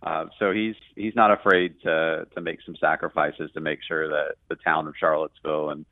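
Deep-voiced man talking sports. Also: level tone, moderate pace, dry recording, phone-quality sound. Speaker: radio play-by-play guest. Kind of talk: conversational sports analysis.

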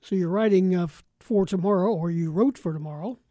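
A man's voice: sits high, a little fast, dark tone, no echo, clean studio sound. A man with an American accent hosting a sports talk radio show. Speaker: radio host.

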